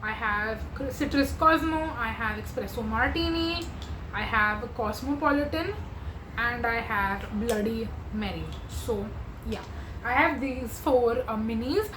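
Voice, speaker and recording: A young woman talks through her makeup in an eager, rambling way, full of fillers. Her voice sits a little high and is going hoarse. The room echoes, with a faint hum behind her. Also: lively intonation, unhurried. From India. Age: 20s. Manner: chatty and gushing, with many fillers. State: losing her voice. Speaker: beauty vlogger. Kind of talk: casual makeup chat to camera.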